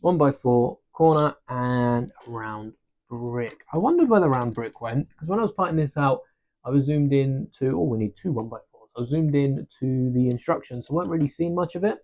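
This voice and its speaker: A man chats casually to his live-stream viewers as he builds, thinking aloud and poking fun at himself. His voice sits at an ordinary pitch and comes through a desk mic. The stream audio is a little dull and lo-fi. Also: normal speed. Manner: relaxed, chatty, self-deprecating, thinks aloud. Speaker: livestream host building a brick set. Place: home desk during a livestream. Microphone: desk microphone.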